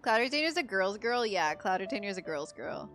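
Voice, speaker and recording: A young woman from the Philippines giving casual, slangy, expressive reaction commentary over a video game. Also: mid-range voice, loud music behind the voice. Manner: expressive, slangy, drawn-out exclamations. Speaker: gameplay YouTuber.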